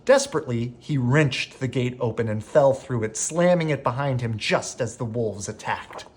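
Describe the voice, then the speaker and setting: A man reading a children's bedtime story aloud as an expressive narrator. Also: mid-range voice, normal speed, a little hiss or hum. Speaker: storybook reader.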